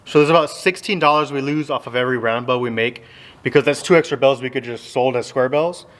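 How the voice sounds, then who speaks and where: A man's voice: mid-range voice, quick pace, a bit bright. A man in his thirties from Texas, casually talking to his phone camera in a big open metal barn, explaining things in an easygoing, rambling way. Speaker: farmer vlogger. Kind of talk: casual vlog talk to camera. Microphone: handheld phone at arm's length.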